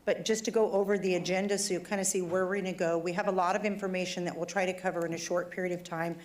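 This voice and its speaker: A woman police chief with a fairly low voice, briefing a city council. Her throat is giving out and she sounds hoarse as she talks. She speaks plainly and conversationally, though the setting is formal. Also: steady tone, fast talker. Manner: conversational and plainspoken, some fillers. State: losing her voice, throat trouble.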